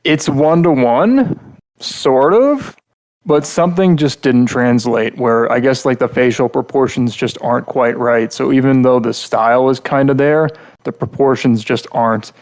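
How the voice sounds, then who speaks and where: Man giving halting, rambling casual critique. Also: mid-range voice, a little mellow. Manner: halting, rambling, repeats words mid-thought. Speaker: podcast host reviewing game characters.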